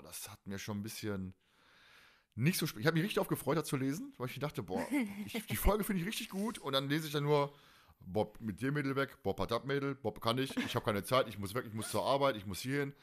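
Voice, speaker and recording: A man in his forties from Germany chatting casually as a podcast host, in an animated, rambling, colloquial way. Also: medium pitch. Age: 40s. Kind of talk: casual chat.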